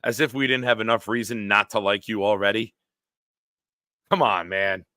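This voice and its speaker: A man in his forties with a smooth, very low voice hosts a live stream, tossing blunt, profane banter at his chat. His delivery is forceful and punchy. The sound is dry and close, with no room around it. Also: fairly even intonation, normal speed, no room sound. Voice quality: deep, smooth voice. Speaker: live-stream show host. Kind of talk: casual live-stream chat, reading viewer comments.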